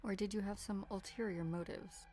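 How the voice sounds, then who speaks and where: A woman's voice: medium pitch, fairly even intonation, moderate pace, warm tone, faint music behind, close to an everyday voice. A woman playing a wary, blunt, seasoned mercenary with a cool delivery in an amateur game dub. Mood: wary, critical.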